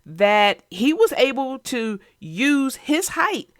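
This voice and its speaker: Female kids' show host: fairly high, very expressive delivery, folksy and conversational. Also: slow pace, dry recording, clean studio sound. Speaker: host of a children's read-aloud show. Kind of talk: casual chat about a picture book.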